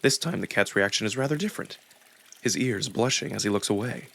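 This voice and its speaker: A man reading a visual novel aloud as narrator, in an expressive, deliberate first-person storytelling style. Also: fairly low pitch, creaky.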